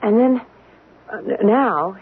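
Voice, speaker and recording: An actress playing an anxious young mother who fears a ghost in her house, speaking nervously with stammers and a breathy voice in a 1970s radio drama. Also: medium pitch, expressive, slow pace. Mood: scared. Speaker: frightened homeowner. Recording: narrow-band old radio broadcast.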